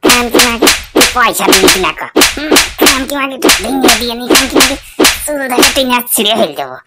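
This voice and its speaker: A drunken, bald cartoon husband acted by a woman who threatens and swears his way through every demand. The voice sits fairly high and is menacing and coarse in manner. The recording has loud noise and clicks behind it. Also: animated, fairly quick, lightly acted. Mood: angry bullying. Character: abusive bald drunken husband, bullying and foul-mouthed. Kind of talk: cartoon comedy dialogue. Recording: very noisy, clicking background.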